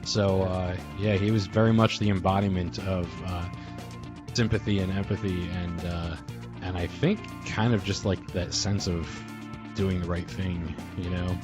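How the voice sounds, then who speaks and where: Low-voiced man reminiscing over guitar music. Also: steady tone, a little slow. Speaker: podcast host. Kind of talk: casual reminiscing in a group memorial call. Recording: soft guitar music behind.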